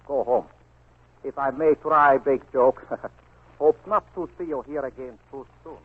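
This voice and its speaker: An actor playing a sly, mocking Japanese police official in a 1940s radio drama, speaking broken English with smug politeness. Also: male voice, fairly high pitch, lively intonation, measured pace, vocal fry, slightly rough, full character voice. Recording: tinny, lo-fi 1940s radio recording.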